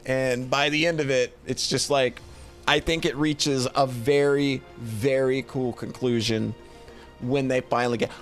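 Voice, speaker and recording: A man giving casual, rambling livestream commentary, thinking aloud in an informal, halting way. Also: medium pitch. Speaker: streamer hosting a tier list of games.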